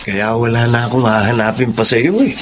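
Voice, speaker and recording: A man's voice: mid-range voice, a little fast, background noise, natural voice. A man playing a sweet, playful, attentive husband in a Filipino radio drama, speaking affectionately. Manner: affectionate, flattering.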